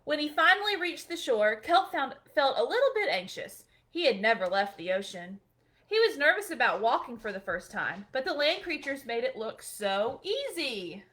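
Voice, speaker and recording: Expressive woman reading a picture book. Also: high-pitched, normal speed. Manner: gentle, expressive storytelling. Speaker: children's librarian.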